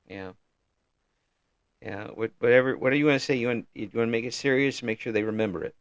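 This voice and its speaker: A man's voice: mid-range voice, quick pace, dry recording. Middle-aged male host, casual and talkative, lots of restarts; dry, slightly dull recording. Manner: chatty, frequent restarts and affirming "yeah"s. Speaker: interview host. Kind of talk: casual interview.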